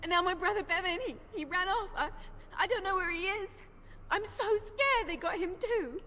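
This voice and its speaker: A woman's voice: extremely high pitch, normal speed, sharp tone. A woman voicing a frightened young village girl who is crying, heard with long reverb. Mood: frightened, tearful.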